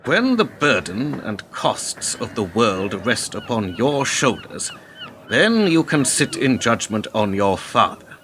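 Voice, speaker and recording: A man's voice: medium pitch, huge pitch swings, slow, fairly bright tone, a little hiss or hum, exaggerated voice. An actor playing a lofty, worldly older businessman who lectures in formal phrases in a radio play. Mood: lofty, lecturing. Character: older worldly, self-justifying spin businessman, lofty and paternal.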